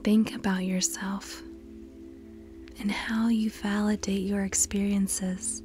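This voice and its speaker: A woman leads a guided meditation over clearly present music. Her delivery is extremely slow and measured, with pauses between instructions. The voice is soft and breathy, often slipping toward a whisper, and stays very even in pitch. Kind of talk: scripted guided meditation narration. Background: background music.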